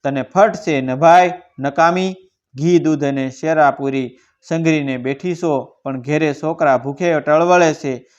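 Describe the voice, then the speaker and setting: A man's voice: a little high, measured pace, lightly acted. A man voicing an impoverished, courteous village bard in a folk tale, with a rustic, emphatic Kathiawadi Gujarati delivery. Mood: bitter. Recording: dull lo-fi recording.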